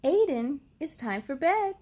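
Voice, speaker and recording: A woman's voice: sits high, sing-song, unhurried, a little mellow. A woman voicing a loving, encouraging mother in a storybook, with a tender manner. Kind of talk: storybook read-aloud.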